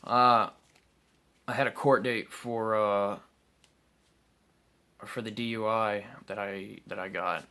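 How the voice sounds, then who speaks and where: Young male vlogger, low voice, hesitant and frank, lots of pauses and restarts. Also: steady tone, sharp tone, clear, resonant tone. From South Carolina, United States. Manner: halting and candid, many ums and restarts. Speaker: vlogger telling his recovery story. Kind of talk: unscripted personal storytelling to camera.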